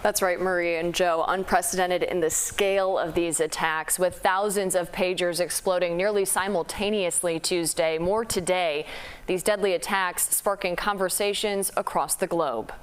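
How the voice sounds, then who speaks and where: A woman's voice: on the low side, fairly even intonation, normal speed, clear, resonant tone. A woman with an American accent delivering a scripted television news report as a reporter.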